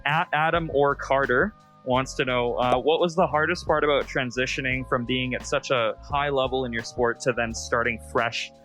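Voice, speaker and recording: A man's voice: medium pitch, fairly even intonation, normal speed, few pauses, fairly bright tone, faint music behind. A man hosting a casual interview, asking questions in an easy conversational way. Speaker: interview show host. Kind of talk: casual interview with quick-fire game questions.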